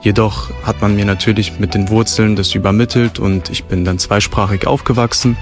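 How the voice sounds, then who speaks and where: A man with a low voice speaks easily and at length as a restaurant host in a relaxed interview. He describes things fluently and fills gaps with small filler phrases. Faint music runs underneath. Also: fairly quick. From Germany. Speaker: restaurant host, son of the owner. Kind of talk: casual radio interview. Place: restaurant terrace.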